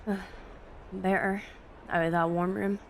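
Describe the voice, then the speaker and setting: A woman's voice: mid-range voice, flat delivery, unhurried, vocal fry, a little hiss or hum. A woman playing a meek, sickly young Victorian match girl in an audio drama, hesitant and deferential. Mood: friendly, weary. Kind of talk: fan audio drama acting.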